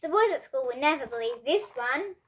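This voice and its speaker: A young girl reading a children's bedtime story aloud in an expressive way. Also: sits high, fairly quick. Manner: expressive, with emphatic character dialogue.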